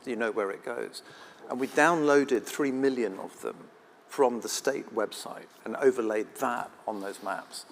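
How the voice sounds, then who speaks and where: A man with a mid-pitched voice talks as a panelist at a live event. He explains step by step in a conversational, anecdotal way, with faint noise behind him. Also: measured pace, gravelly. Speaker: investigator and panelist.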